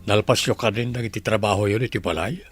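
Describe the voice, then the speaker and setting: A man's voice: fairly low pitch, quick pace, soft background music, lightly acted. A man playing a considerate but firm household employer in an audio drama. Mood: kindly. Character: considerate male household employer, firm about his family.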